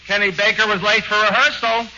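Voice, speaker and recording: A man playing the bandleader in a 1940s radio comedy, delivering deadpan non sequiturs. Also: sits high, brisk. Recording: dull, very noisy 1940s radio broadcast.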